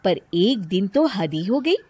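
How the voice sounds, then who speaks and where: A woman's voice: medium pitch, big pitch swings, measured pace, warm tone, husky, no echo. A woman narrating a scripted cartoon story in a plain storytelling manner.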